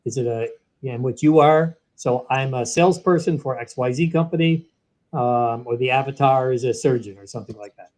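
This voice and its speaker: A man, a guest in an interview, explaining a software product in an animated way, walking through each step. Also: mid-range voice, normal speed. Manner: animated, walks through steps one by one. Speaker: guest, sales training software executive.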